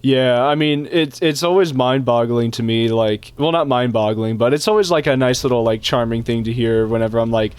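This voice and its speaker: A man's voice: medium pitch, a little fast, hardly any pauses, clean tone, no echo. A young man hosting a casual gaming chat, joking and swearing, with a speech impediment that mixes up his R and W sounds. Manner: joking, swears a lot, laughs often. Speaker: host playing a game.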